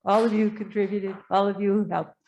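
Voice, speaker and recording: A woman's voice: medium pitch, fairly even intonation, brisk, a little mellow, lo-fi sound, a little hiss or hum. A woman sharing happy news in a church service, chatty and cheerful, laughing as she talks. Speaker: congregant. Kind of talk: sharing a joy. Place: church sanctuary.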